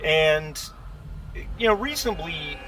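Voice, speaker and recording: A man narrating a tabletop game as game master, improvising with halting pauses. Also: a little high, sing-song, fairly bright tone. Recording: distant mic with background noise.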